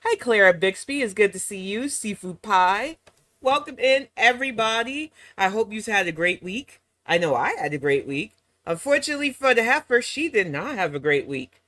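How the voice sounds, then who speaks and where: Sassy slightly hoarse woman streamer. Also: medium pitch, animated, normal speed, a bit bright, no room sound. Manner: sassy, mocking asides. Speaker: reaction channel host. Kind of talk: casual live-stream chat.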